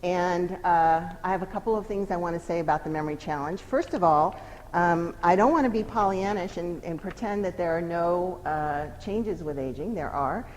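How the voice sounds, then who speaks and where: A middle-aged woman giving a psychology lecture in a lively, emphatic, conversational teaching manner. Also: fairly low pitch, moderate pace. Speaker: psychology professor giving a talk. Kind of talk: lecture presentation. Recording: long hall reverb, faint noise.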